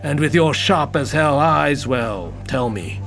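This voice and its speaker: A blunt, jaded senior male detective in an audio drama. He is unkempt and gruff, speaks tersely, and his pitch moves around a lot. Music runs behind him. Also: expressive, takes it slowly, fairly bright tone, exaggerated voice. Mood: terse, probing.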